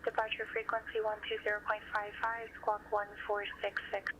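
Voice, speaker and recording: A woman's voice: medium pitch, completely flat delivery, a little fast, sharp tone. A woman working as an air traffic controller, giving clipped instructions in standard phraseology over an aviation radio. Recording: noisy, muffled aviation radio transmission.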